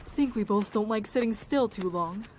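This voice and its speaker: A woman's voice: medium pitch, normal speed, noisy background, close to an everyday voice. An actress playing a perceptive woman doctor in a zombie apocalypse audio drama, chatty and matter-of-fact. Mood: breezy.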